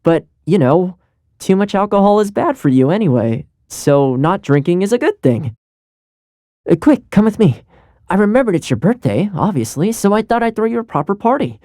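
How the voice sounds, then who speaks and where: Animated, easygoing young retainer. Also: male voice, high-pitched, moderate pace, no echo, studio quality. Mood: cheerful, casual. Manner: chatty, earnest, conversational with light chuckles. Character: friendly, easygoing young retainer man, loyal and good-natured. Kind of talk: video game character voice lines.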